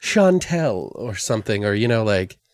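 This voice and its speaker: A man's voice: fairly low pitch, sing-song, normal speed, clear, resonant tone, no room sound, studio quality. A man co-hosting a podcast in casual comedic brainstorming, rambling and joking crudely. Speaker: podcast co-host.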